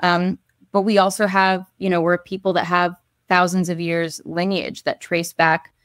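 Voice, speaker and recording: A woman's voice: fairly low pitch, level tone, moderate pace, fairly bright tone, no echo, clean studio sound. A young woman answering an interview question on a video call, speaking earnestly with frequent ums and restarts. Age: young adult. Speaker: guest, advocate and essayist. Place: home room.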